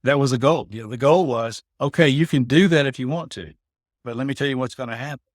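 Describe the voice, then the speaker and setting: A man's voice: mid-range voice, fast, no echo, clean studio sound. A middle-aged man, a veteran of his trade, explaining things in a casual conversation, often repeating words and asking "you know what I mean?". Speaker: guest, building automation integrator veteran.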